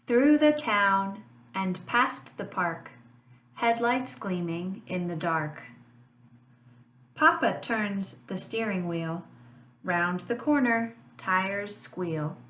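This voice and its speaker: A woman teacher reading a rhyming children's picture book aloud in a slow, sing-song way, with lively honks. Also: medium pitch. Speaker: family literacy teacher. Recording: echoey room, voice a bit far from mic.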